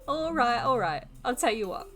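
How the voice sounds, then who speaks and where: A woman's voice: a little high, very animated, normal speed, a bit bright, faint music behind. A woman voicing a bored, teasing ancient demon with a theatrical, mocking manner and cackles, in a dramatic visual novel reading. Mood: playful coaxing.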